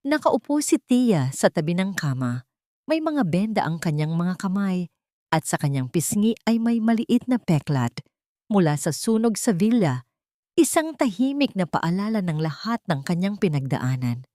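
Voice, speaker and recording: A woman with a low, steady voice narrates a melodrama audiobook. Her delivery is dramatic and emotive, drawing out each scene. The recording is dry and close, with no room sound. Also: normal speed, no echo.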